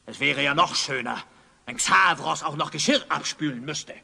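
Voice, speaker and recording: Pompous, creaky, high-voiced wizard villain. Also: male voice, moderate pace, pushed and bright, full character voice. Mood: haughty. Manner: pompous, imperious, gloating. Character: pompous, vain villainous wizard, Master of Time. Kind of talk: German children's audio drama acting.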